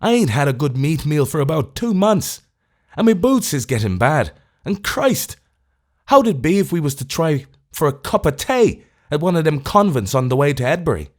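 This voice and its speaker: A middle-aged Irish tramp, as a man reads him, whining and self-pitying in an Irish accent. His voice climbs high and pleading, swinging up and down as he complains. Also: very animated, moderate pace.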